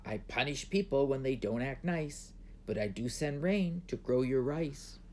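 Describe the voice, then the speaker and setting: A man's voice: mid-range voice, animated, unhurried, a little mellow, a little hiss or hum, pitched above the performer's own voice, natural voice. A man voicing a proud, smitten and vengeful storybook dragon who speaks and threatens in rhyme.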